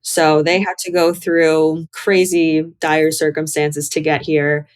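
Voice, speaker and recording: A woman's voice: low voice, little pitch movement, slow, clean tone. A young American woman talking candidly and casually about herself as a podcast guest, in a reflective way. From Chicago, United States. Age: young adult. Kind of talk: casual conversational interview.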